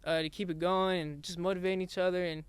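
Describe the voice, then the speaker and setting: A young man, a rap artist being interviewed, talking in a soft, halting way with many restarts. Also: high voice, level tone, no room sound. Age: young adult. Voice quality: raspy singing voice. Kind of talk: casual interview chat.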